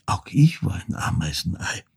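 An actor playing a reflective man recounting childhood memories in a radio play, with a husky, gravelly voice and halting phrasing. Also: sits low, big pitch swings, darkish tone, exaggerated voice. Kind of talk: literary first-person radio drama monologue.

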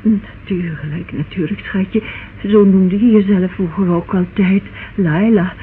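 A woman's voice: medium pitch, steady tone, close to an everyday voice. An actress playing a fragile, doting older woman, tender and overwrought with longing, in a radio drama. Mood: pleading tenderness. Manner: tender, emotional, drawn-out. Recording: phone-like, noisy old radio play recording.

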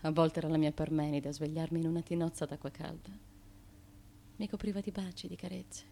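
An actress playing a fearful, haunted wife of an ancient Greek tyrant, intense and repetitive, in a theatrical radio drama. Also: female voice, deep voice, little pitch movement, fairly quick, vocal fry, warm tone, slight background noise. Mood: dazed, fragile.

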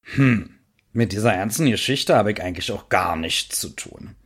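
An actor playing an evasive, casually defensive man in a satirical radio monologue, with a husky voice. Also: on the low side, lively intonation, normal speed.

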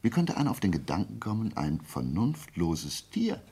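An actor playing a shrewd, self-assured amateur detective in a German radio play, reasoning aloud deliberately with theatrical swings in a gravelly, husky voice. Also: male voice, on the low side, huge pitch swings, dark tone, full character voice. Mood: musing, reasoning.

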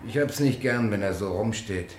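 A man's voice: lowish voice, moderate pace, natural voice. An actor playing a shy, earnest, polite small-town man in a German radio play, plain-spoken and hesitant. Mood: embarrassed, lonely. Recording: echoey room, faint background noise.

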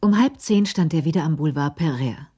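A woman narrating a radio crime drama in a measured, literary way. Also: deep voice, expressive, warm tone, slight background noise.

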